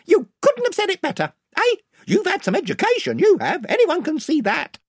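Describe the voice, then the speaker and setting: A man's voice: extremely high pitch, wildly swooping pitch, normal speed, studio quality, voice pushed up, exaggerated voice. A man voicing a chorus of eager, fawning villagers who keep echoing agreement.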